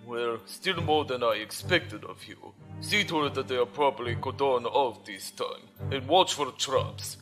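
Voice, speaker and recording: An actor playing a stern, arrogant commodore of a space base, speaking in a formal, pompous, commanding way in an audio drama. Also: male voice, mid-range voice, measured pace, few pauses, music in the background, lightly acted. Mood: cold, sardonic.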